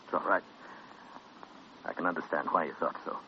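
Deep-voiced, sober father. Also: fairly even intonation, fairly quick. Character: sober, forgiving middle-aged father. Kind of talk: 1950s American radio drama acting. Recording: dull, noisy old radio recording.

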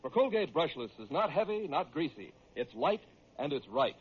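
An American man announcing and pitching a sponsor in old-time radio style. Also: big pitch swings, moderate pace, slightly rough. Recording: dull old radio broadcast recording.

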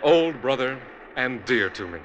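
An actor playing a smooth-talking, treacherous younger brother in an old-time radio drama, formal and insistently brotherly. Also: male voice, medium pitch, lively intonation, measured pace, a bit bright, muffled recording, a little hiss or hum. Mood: falsely brotherly.

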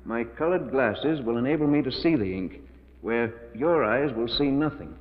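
A man's voice: medium pitch, lively intonation, normal speed, natural voice. An actor playing a tense, guilty man trapped in a cave, in emphatic 1940s radio melodrama. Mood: grim, reading aloud. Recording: muffled 1940s radio recording, reverberant.

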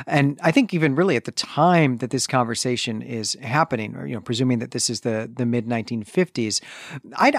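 A man's voice: mid-range voice, no room sound, studio quality. A man co-hosting a podcast, giving a conversational literary recap in an explanatory manner, checking his points with rhetorical questions. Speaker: podcast co-host.

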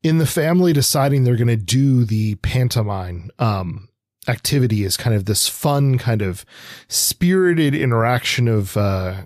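A man co-hosting a literary podcast, chatting in a halting way as he searches for words and hedges. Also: mid-range voice, lively intonation, a little mellow, no echo, studio quality. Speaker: podcast co-host. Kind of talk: literary discussion, conversational.

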